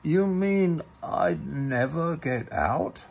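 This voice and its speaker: A man voicing an anxious, gloomy, slow-witted toy bear in a slow, hesitant way for a children's storybook. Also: a little high, lively intonation, gravelly, slight background noise, pitched above the performer's own voice, exaggerated voice.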